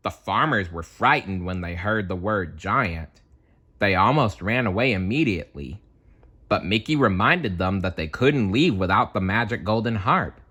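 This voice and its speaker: A man reading a children's story aloud with playful, dramatic, expressive narration. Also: lowish voice, normal speed, slightly rough.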